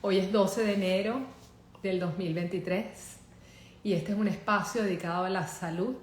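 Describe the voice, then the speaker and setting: A woman's voice: mid-range voice, steady tone, moderate pace. A woman with a Venezuelan accent, a psychologist hosting a live online show in an upbeat, welcoming way. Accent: Venezuelan Spanish. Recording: roomy echo, faint background noise.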